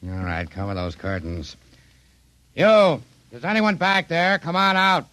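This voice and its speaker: An actor playing a plain-spoken, skeptical police sergeant in a 1950s radio drama, blunt and slangy. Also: male voice, on the high side, big pitch swings, a little slow, pushed and bright, dry recording, exaggerated voice, poor audio. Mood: brusque, skeptical.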